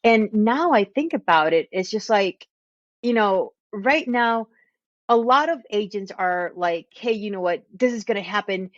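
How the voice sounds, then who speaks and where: A woman's voice: medium pitch, lively intonation, unhurried, no room sound, dull audio. A woman in her thirties from Texas and Puerto Rico, hosting a podcast and telling stories about her life in a chatty way, laughing at herself. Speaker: podcast host, realtor. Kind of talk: casual storytelling monologue.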